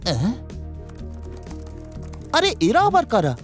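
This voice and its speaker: A woman voicing an urgent, animated detective teammate in a cartoon. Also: on the high side, slow, soft background music, heavily acted. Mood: surprised.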